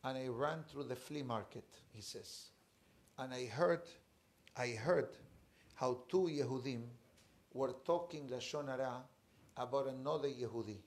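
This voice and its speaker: A man in his forties, a rabbi, telling a story in a Torah class in an emphatic, animated way with pauses. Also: medium pitch. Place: study room with bookshelves, indoors. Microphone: fixed camera, seated at desk.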